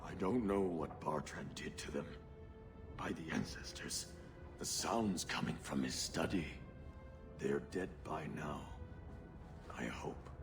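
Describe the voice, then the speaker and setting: A frightened male dwarf servant in a fantasy game. His very low voice is grave and pleading, haunted by fear, with music playing clearly behind it. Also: slow, long pauses, heavily acted. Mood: fearful, pleading. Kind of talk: video game dramatic acting.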